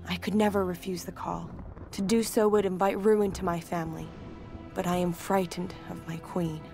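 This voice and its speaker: Earnest, uneasy young priestess. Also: female voice, lowish voice, fairly even intonation, normal speed, gravelly. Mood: fearful unease. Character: uneasy, dutiful young priestess of an ancient queen. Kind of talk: acted reading of a diary. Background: music.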